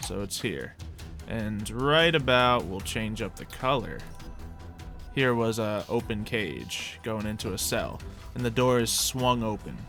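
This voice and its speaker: A man's voice: fairly low pitch, lively intonation, measured pace, a bit bright, faint music behind. A man acting as game master, explaining and narrating a tabletop roleplay game in a casual, conversational way.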